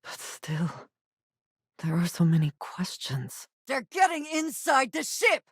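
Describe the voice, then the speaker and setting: An actress voicing a guarded, earnest young woman space explorer in a video game, speaking in formal, measured phrases with few contractions. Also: sits high, very animated, slightly rough, no room sound, clean studio sound, exaggerated voice. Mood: urgent shout.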